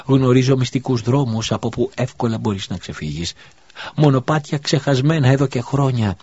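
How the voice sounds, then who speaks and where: Guy reading an audiobook doing a grieving widow voice, high and pleading, kinda husky, dull lo-fi audio. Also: a little mellow. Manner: pleading, then wailing curses. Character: desperate grieving widowed mother. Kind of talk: audiobook character voice. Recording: dull lo-fi recording.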